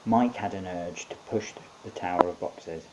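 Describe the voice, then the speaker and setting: A man's voice: sits low, normal speed. A man narrating his own short story in a wry, conversational storytelling manner. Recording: faint background hiss.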